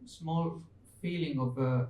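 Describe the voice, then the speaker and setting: A man's voice: slow pace, warm tone. A man who speaks English as a second language, reflecting hesitantly during a panel discussion, searching for his words. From outside Finland. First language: not English. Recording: echoey room, distant mic, low rumble.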